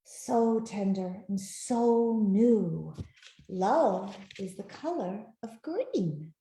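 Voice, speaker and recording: A woman reading a picture book aloud to children in a gentle, expressive storytelling manner. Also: medium pitch, extremely slow. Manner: gentle, expressive, with soft asides to listeners. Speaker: author reading her own picture book. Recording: roomy, echoey sound.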